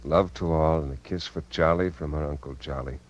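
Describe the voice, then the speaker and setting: An actor playing a suave, confident uncle in a measured 1950s radio drama style. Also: male voice, very deep voice, fairly even intonation, muffled recording. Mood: smooth, assured. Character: suave, confident visiting uncle sending a telegram. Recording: vintage 1950s radio broadcast.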